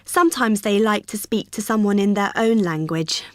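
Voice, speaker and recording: A woman's voice: medium pitch, moderate pace, no echo, studio quality, lightly acted. A woman playing a friendly union receptionist. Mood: helpful. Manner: friendly, helpful, explaining patiently. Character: cheerful young female union helper. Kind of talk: scripted exam listening dialogue.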